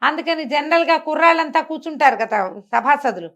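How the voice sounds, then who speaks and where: A woman with a fairly high voice tells a traditional moral story. She speaks conversationally, explaining as she goes and slipping into the characters' dialogue as an oral storyteller would. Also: lively intonation, fairly quick, a bit bright. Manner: conversational, explanatory, acts out dialogue, rhetorical questions. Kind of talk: animated oral storytelling of a moral folk tale.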